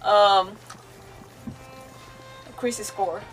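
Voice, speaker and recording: A woman's voice: medium pitch, fairly even intonation, extremely slow, recorded from a distance, faint music behind, low-quality recording. A woman streaming a video game, giving casual, rambling live commentary.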